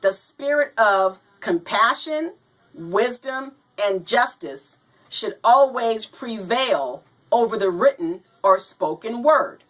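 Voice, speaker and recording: A woman hosting a video show, slow and halting, a little hoarse from a cold, talking to viewers and reading aloud. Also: a little high, lively intonation, fairly bright tone, poor audio. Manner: slow, halting, says "you know" and "now". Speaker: host.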